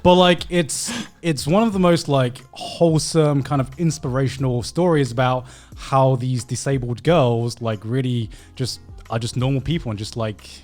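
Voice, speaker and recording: Guy on a podcast, normal pitch, halting explainer, bit of music behind him. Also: darkish tone. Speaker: podcast co-host. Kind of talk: casual podcast chat.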